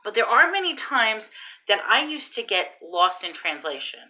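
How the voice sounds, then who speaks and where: A woman's voice: fairly high pitch, moderate pace, rough recording. A woman in her thirties with a New York Long Island accent, telling stories in a casual vlog, recorded on a phone at arm's length in a small room. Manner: animated, exclamatory storyteller. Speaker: vlogger and online seller.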